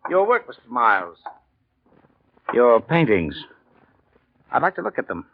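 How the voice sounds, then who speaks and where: A man's voice: medium pitch, sing-song, unhurried. An actor playing a persistent male police lieutenant, offhand and politely insistent, in 1940s radio drama style. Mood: probing, casual. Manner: offhand, hesitant probing, polite but insistent. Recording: dull 1940s radio recording.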